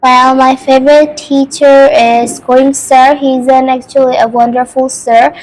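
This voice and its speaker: A woman's voice: sits high, level tone, a little slow, low-quality recording. A young Bangladeshi schoolgirl answering interview questions earnestly, often restarting and correcting herself.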